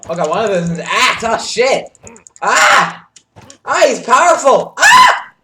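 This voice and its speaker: A man streaming a game live, reacting excitably with yelps and shouts, keyboard clicking behind him. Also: very high voice, slow pace, roomy sound, a little hiss or hum. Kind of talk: live gameplay commentary. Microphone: desk mic with audible keyboard.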